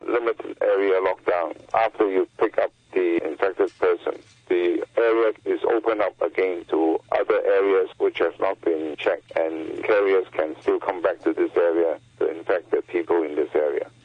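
A man, a doctor interviewed by phone, explaining his concerns in a halting way. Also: low-pitched, steady tone, husky, no echo. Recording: tinny phone line.

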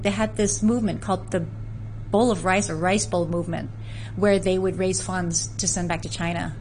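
A woman from San Francisco speaks as an interview guest, earnest and unhurried as she tells family history. Her pitch sits in the middle range. A faint noise runs underneath. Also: darkish tone, poor audio. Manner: earnest, storytelling, with frequent restarts. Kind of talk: conversational interview.

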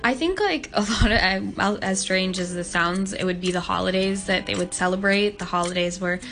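A woman's voice: medium pitch, moderate pace, a bit bright. A nineteen-year-old woman from Hong Kong, a podcast guest answering questions in an earnest, thoughtful way, with many "like" fillers. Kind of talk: casual interview answers.

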